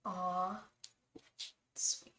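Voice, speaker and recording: Bright, chatty woman reading tarot live. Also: on the low side, extremely slow, roomy sound, a little hiss or hum. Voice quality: calming voice. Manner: chatty and reassuring, many restarts and "um"s; addresses viewers fondly as "love". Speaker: tarot reader and psychic.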